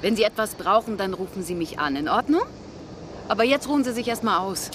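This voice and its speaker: An actress playing a calm, courteous professional woman offering help in a radio drama. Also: mid-range voice, fairly quick, noisy background, lightly acted. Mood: concerned, kindly. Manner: polite, composed, businesslike. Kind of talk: German radio drama acting.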